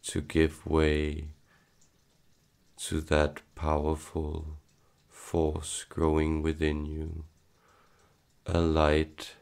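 An extremely low-voiced man guides a meditation at a very slow pace. His delivery is solemn and hypnotic, broken into short phrases with a steady, nearly monotone line. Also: vocal fry. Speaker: channeler leading a guided meditation.

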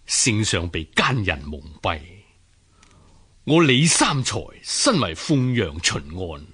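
Solemn, husky, troubled official. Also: male voice, on the low side, big pitch swings, fairly bright tone, heavily acted. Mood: troubled, grave. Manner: solemn, measured, declamatory. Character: earnest, troubled male official and loyal husband. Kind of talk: radio drama acting.

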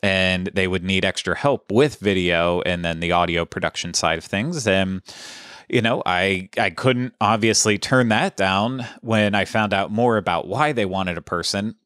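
A man in his thirties chatting casually as a podcast producer, with a flat, monotone delivery into a studio mic at home. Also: deep voice, unhurried, clear, resonant tone, no echo. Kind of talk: casual chat. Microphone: studio mic on boom arm.

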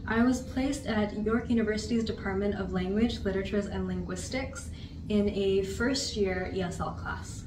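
A composed young woman speaks fluently about her teaching placement. Her voice sits at a middle pitch. She is heard from a distance in a roomy space with clear background hiss. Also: normal speed. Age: 20s. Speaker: TESL practicum student. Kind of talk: interview answers. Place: plain studio room, standing.

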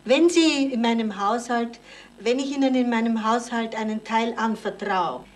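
A woman's voice: a little high, moderate pace, lightly acted. An actress playing a bossy housekeeping woman in a radio drama, coaxing and persuasive. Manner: persuasive, managing. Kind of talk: German radio comedy-drama acting. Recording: slightly noisy 1970s radio recording.

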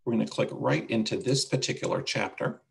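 A man leads a casual software demonstration over a video call. His voice is on the low side with noticeable vocal fry. He explains each step in a plain, instructional way. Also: fairly quick. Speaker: webinar presenter demonstrating a website.

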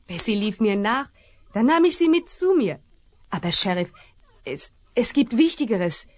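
A woman's voice: a little high, very animated, a little slow. An earnest determined young woman. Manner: earnest, urgent.

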